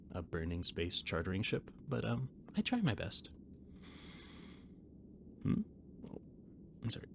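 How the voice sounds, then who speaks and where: Man playing a low, gravelly, flustered catboy cook. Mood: explanatory, rambling. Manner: hesitant, stammering, evasive, nerdy rambling. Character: flustered, rambling catboy ship's cook and mechanic, eager friend. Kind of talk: intimate one-sided roleplay audio drama. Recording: noticeable background noise and ambience.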